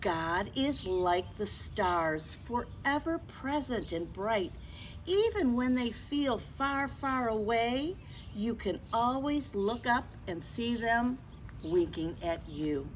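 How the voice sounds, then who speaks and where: A woman leading a children's story time, speaking deliberately and expressively, as if straight to young listeners. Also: a little high, lively intonation, a little hiss or hum, low-quality recording. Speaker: children's storyteller and religious educator. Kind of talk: children's story time, read-aloud and simple faith talk.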